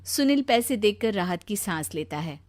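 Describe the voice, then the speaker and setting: A woman's voice: medium pitch, animated, moderate pace. A woman storyteller reading a scripted story narration.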